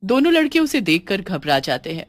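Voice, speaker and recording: A woman narrates a scripted horror story in a dramatic storytelling style. Her voice sits at a medium pitch, and the sound is dry with no room around it. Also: sing-song, fairly quick, no room sound.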